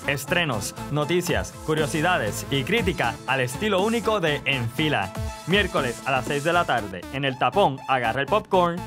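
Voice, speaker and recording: Male promo announcer, slightly high pitch, scripted read over a clear music bed. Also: lively intonation, moderate pace.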